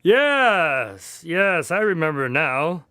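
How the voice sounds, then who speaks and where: An actor playing an indignant, excitable detective's partner who tells stories in a radio drama. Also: male voice, fairly high pitch, drawn-out words, clear, resonant tone, dry recording, studio quality, full character voice. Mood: chatty storytelling.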